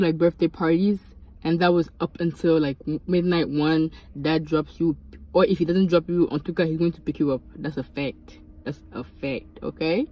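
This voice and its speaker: A woman's voice: fairly low pitch, dark tone, poor audio. Chatty young woman vlogging in a car. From Mali, raised in Senegal. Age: 20s. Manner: chatty, swears casually, jumps between asides. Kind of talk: casual storytime chat. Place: inside a parked car.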